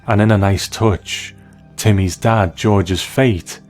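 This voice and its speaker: A man's voice: fairly low pitch, steady tone, slow, a little mellow, faint music behind. A man delivering scripted commentary as the narrator of a review.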